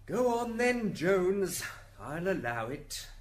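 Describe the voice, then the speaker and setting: A man's voice: sits high, very animated, takes it slowly, heavily acted. A man playing a curt, impatient judge of heaven in an amateur radio drama. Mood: curt, weary. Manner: commanding, curt.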